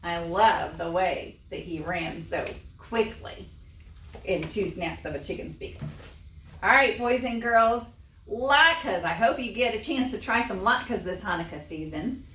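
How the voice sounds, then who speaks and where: A woman's voice: mid-range voice, a little slow, fairly bright tone. A woman presenting a library storytime, reading and explaining crafts expressively for young children. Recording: echoey room, distant microphone.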